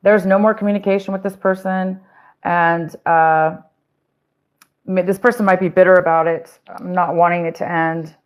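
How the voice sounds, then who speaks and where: A woman's voice: fairly low pitch, flat delivery, normal speed, clean tone. A woman giving a casual, rambling tarot reading, talking straight to her viewers with fillers and restarts, and saying she is losing her voice. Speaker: tarot reader.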